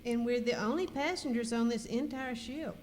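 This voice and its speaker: Curious female cruise passenger, amateur actress, mellow high voice, radio skit, echoing hall, faint noise. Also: normal speed, close to an everyday voice. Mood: realizing, alarmed. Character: curious, suspicious woman cruise passenger piecing things together.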